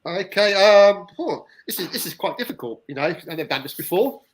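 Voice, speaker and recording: A man from the UK interviewing a band, talking haltingly with lots of restarts and fillers. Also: high-pitched, lively intonation. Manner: halting, stammering, many restarts. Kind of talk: casual interview.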